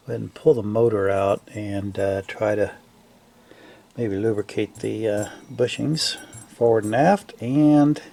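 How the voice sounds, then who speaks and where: A man giving casual, unscripted repair commentary in a gravelly voice, thinking aloud haltingly in trailing fragments. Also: on the low side, a little mellow, slight background noise. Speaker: hobbyist restorer narrating a repair video.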